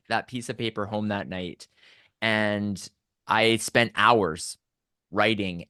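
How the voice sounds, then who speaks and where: Low-voiced Canadian man telling stories. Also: a bit bright, dry recording, clean studio sound. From Southern Alberta, Canada. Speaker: podcast guest. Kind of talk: conversational personal storytelling.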